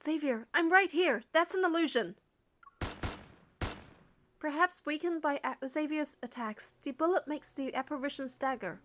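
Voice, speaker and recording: High-pitched woman narrating a dramatic story. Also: measured pace, a bit bright, a little hiss or hum. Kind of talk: dramatic scripted first-person story narration.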